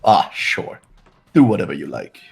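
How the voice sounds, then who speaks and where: A man reading a game character's lines in a calm, measured, slightly smug way, among friends. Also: low voice, gravelly, husky, soft background music. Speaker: player voicing a game character.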